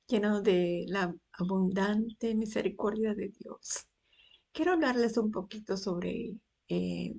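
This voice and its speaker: A Cuban woman in her sixties giving a personal faith testimony, unhurried and pausing often between phrases. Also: mid-range voice, gravelly, warm tone. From Cuba. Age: 60s.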